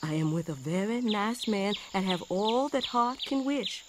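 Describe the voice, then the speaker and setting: A woman reading as a freed soldier writing a tender, measured letter home to his wife in a dramatic reading. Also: mid-range voice, lively intonation, background noise, natural voice. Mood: tender, earnest.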